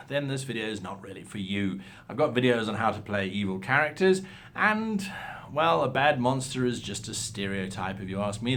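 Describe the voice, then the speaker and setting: A man presenting role-playing advice in an instructional talk, with a theatrical, emphatic manner and frequent rhetorical questions. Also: mid-range voice.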